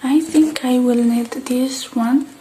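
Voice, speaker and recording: A woman playing a gentle, polite repair technician in a soft-spoken ASMR roleplay, murmuring and sometimes whispering in an unhurried way. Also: sits high, steady tone, a little hiss or hum. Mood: calm, focused. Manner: soft, unhurried, murmuring to herself while working.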